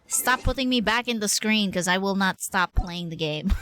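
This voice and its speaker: A woman hosts a casual gaming stream with music playing behind her. Her pitch moves around a lot as she comments on the game. She is playful and slangy, slipping between talking to herself and to her viewers. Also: fairly bright tone. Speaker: game livestreamer and host.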